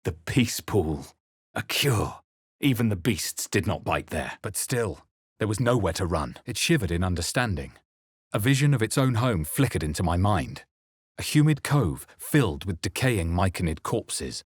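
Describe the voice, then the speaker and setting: A man voicing a wry, brooding adventurer in a fantasy video game, with a measured, dry, dramatic delivery. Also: deep voice, sing-song, breathy edge, no room sound, full character voice. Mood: brooding, hushed. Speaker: player character voice in a fantasy role-playing video game. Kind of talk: video game voice lines, exploration remarks, spell chants and inward narration.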